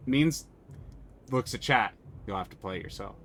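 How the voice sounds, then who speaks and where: A man's voice: lowish voice, lively intonation, moderate pace, a bit bright. A man streaming a story game, reading its text aloud and chatting casually, swearing freely.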